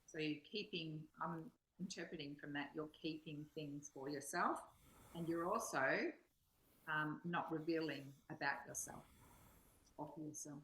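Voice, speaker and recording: A woman's voice: low voice, a little slow, fairly bright tone. A woman in reflective personal discussion, explaining her thoughts in an earnest, thoughtful way. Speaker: study group co-host. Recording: roomy, echoing sound.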